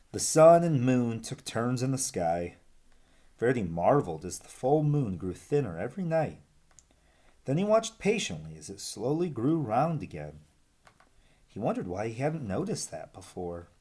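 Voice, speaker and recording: A man reads a children's story aloud in an expressive storyteller's style. His voice sits at an average pitch and moves up and down with lots of animation. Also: unhurried.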